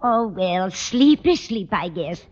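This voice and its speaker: A woman's voice: mid-range voice, animated, slow. An actress playing a kindly, fussy, scatterbrained older landlady in a vintage radio comedy, rambling in a motherly way. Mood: flustered. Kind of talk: 1940s-50s radio comedy acting. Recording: muffled, noisy old-time radio recording.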